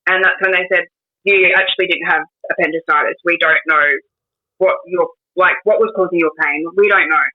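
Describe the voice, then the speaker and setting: A woman in her twenties with an Australian accent, a guest telling her life story in a casual interview, hesitant with many pauses and restarts. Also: on the low side, fairly even intonation. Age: 20s. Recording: tinny phone-like line.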